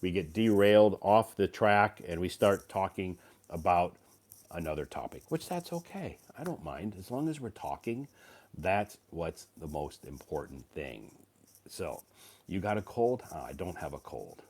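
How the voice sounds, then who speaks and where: Deep-voiced guy hosting a stream, just getting over a cold, lots of ums. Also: animated, measured pace, darkish tone. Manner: rambling, many fillers and restarts. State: recovering from a cold. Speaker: live stream host and English teacher. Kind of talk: casual live stream chat.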